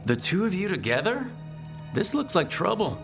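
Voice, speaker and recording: An actor playing a steady, wry young adventurer leading a group in a video game, direct and plain-spoken. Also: male voice, high voice, moderate pace, vocal fry, music in the background, exaggerated voice. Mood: concerned.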